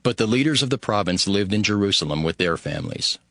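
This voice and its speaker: A man reading scripture as a scripted audio Bible narration, in a measured, formal way. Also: lowish voice, expressive, a bit bright, no room sound, studio quality. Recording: music behind the voice. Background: background music.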